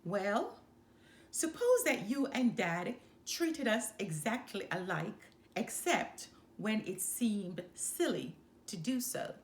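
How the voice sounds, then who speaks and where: Woman voicing a careful, slow-talking storybook boy. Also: mid-range voice, very animated. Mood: reasoning. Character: reasonable, tentative ten-year-old eldest boy. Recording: roomy, echoing sound.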